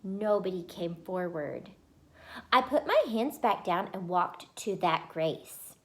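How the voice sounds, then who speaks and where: A woman voicing an excitable, boastful little schoolgirl in a children's storybook, with childish grammar and gushing emphasis. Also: fairly low pitch, slow pace. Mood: chatty, self-satisfied. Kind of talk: first-person children's storybook read-aloud.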